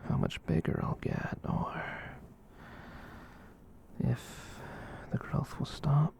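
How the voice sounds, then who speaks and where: A performer voicing a gentle giant catboy in a whispered ASMR roleplay, soothing and rambling, with hesitant pauses. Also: a little hiss or hum, lightly acted. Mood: tender, shy. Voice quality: breathy whisper. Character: gentle giant catboy, soothing, reassuring, shyly self-conscious.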